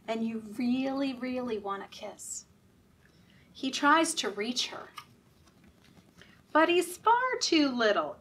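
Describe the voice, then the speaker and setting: A woman reading picture books aloud at a children's storytime, animated and interactive, as if leading young listeners along. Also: a little high. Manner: animated, interactive, asking children questions and prompting actions. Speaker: children's storytime reader at a library. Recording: voice at a distance from mic.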